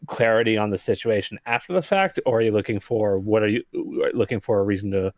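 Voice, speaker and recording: A man's voice: fairly low pitch, quick pace, no room sound. Easygoing male co-host, dry close mic. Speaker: podcast co-host. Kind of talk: casual podcast chat.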